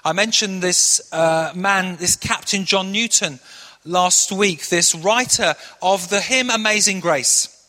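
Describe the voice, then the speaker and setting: A middle-aged man from Manchester preaching a sermon as pastor, telling stories earnestly and dropping into a hushed prayer. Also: high-pitched, takes it slowly. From Manchester, England. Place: church hall. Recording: long echoey hall reverb.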